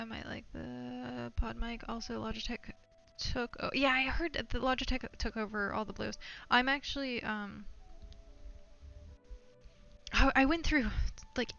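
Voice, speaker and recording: A woman's voice: on the high side, creaky, sharp tone, dull audio. A woman live streamer chatting casually to her viewers while gaming, rambling and thinking aloud.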